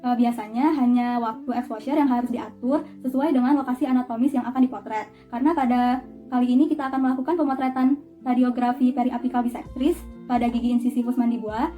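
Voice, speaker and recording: A woman's voice: high-pitched, phone-quality sound. A young woman giving an instructional demonstration in an orderly, polite, step-by-step manner. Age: young adult. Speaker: dental student demonstrating a radiography procedure.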